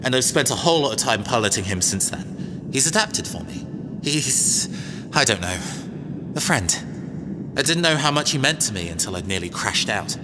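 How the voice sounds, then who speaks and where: A man's voice: mid-range voice, lively intonation, normal speed, long reverb tail, background noise, lightly acted. A man playing a cagey, self-assured robot engineer mercenary in an audio drama, wry, conversational and hesitant. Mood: sheepish, fond.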